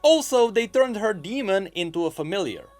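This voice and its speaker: A man's voice: high voice, lively intonation, moderate pace, a little mellow. A man hosting a scripted gaming news show, narrating with wry, dryly sarcastic asides. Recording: music behind the voice. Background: background music.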